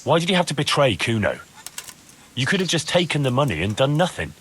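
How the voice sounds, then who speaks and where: An actor playing a stern medieval soldier in a video game, questioning and scolding with a sardonic edge. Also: male voice, medium pitch, sing-song, fairly quick, fairly bright tone, slight background noise. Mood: stern, probing. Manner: reproachful, sardonic. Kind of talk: video game cutscene acting.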